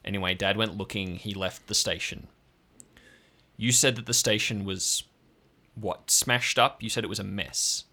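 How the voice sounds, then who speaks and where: Audio drama role: authoritative, fed-up woman ranger in command, blunt and forceful male performer, low register. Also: fairly even intonation, measured pace, heavily acted. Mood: stern, exasperated. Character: brusque, exasperated woman ranger confined to hospital bed. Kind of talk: improvised audio drama acting.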